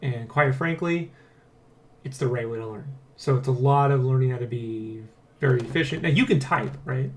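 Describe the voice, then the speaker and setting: A man hosting a development blog in casual, excitable, rambling chat, jokily boastful and swearing freely. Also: mid-range voice, moderate pace, a little hiss or hum. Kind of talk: casual vlog chat, addressing viewers.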